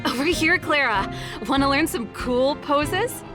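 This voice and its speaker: A woman's voice: high-pitched, a little slow, music in the background. A woman voicing a cool, friendly young rock musician in a video game, breezy and teasing.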